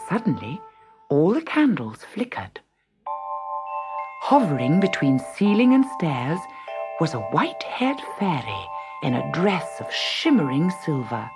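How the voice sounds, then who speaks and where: Woman reading a fairy tale, slow and very expressive, husky, faint music behind. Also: sits low. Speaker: storyteller.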